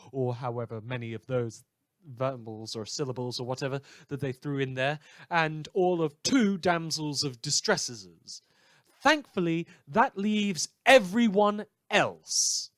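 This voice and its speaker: Man playing a high-pitched, snide pirate radio host. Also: a bit bright, dry recording, studio quality. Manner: dramatic, sarcastic emphasis with drawn-out words. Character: snide, theatrical, conspiracy-minded pirate radio news host. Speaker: fictional radio show host. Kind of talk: mock radio news broadcast.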